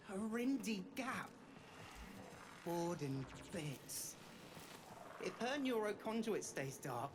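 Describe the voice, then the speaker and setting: A man playing a scrappy, earnest young street kid in a game cutscene, with slangy, heartfelt speech and rough grammar. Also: high-pitched, noisy background, heavily acted. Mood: worried, sad.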